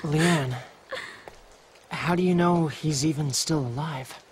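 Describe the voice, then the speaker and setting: A man's voice: a little high, slow pace, slight background noise. A man voicing a wry, sardonic hired hunter in a video game, with a dry, teasing manner. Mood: matter-of-fact.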